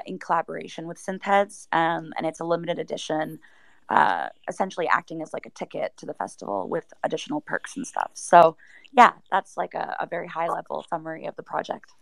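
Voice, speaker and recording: A woman guest with a lowish voice and noticeable creak gives a casual explanation. Her phrasing is loose and conversational, and the sound is dry with little room. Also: no echo. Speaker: project team member, interview guest. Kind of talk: casual explanatory interview talk.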